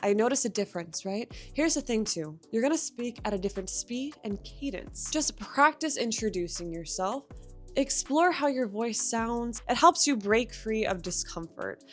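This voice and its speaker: A woman's voice: fairly high pitch, animated, normal speed, a bit bright, faint music behind. A young adult woman who teaches English, giving encouraging, direct language-learning advice in a scripted lesson.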